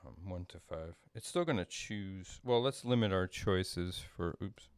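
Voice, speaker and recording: A middle-aged man giving a casual coding demo as a tutorial presenter, halting and thinking aloud as he works. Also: low voice, clean tone, no echo. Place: small home room, indoors.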